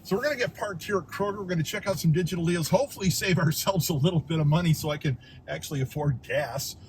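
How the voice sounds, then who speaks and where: A hoarse man with a Midwestern American accent, hosting a casual shopping vlog and chatting about prices. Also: on the high side, fast talker, a little hiss or hum, rough recording. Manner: chatty, talks prices aloud. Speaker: vlog host. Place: car, then grocery store aisles.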